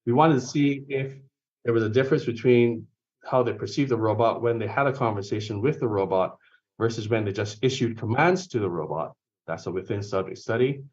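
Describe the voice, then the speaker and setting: A man's voice: mid-range voice, brisk, darkish tone. A man in a mid-range voice presents his research findings, explaining methods patiently. He stumbles into frequent fillers and corrects himself mid-phrase. The lo-fi recording sounds dull and catches him from a distance. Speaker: conference presenter on robotics research. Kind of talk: academic research talk. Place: conference venue or online session.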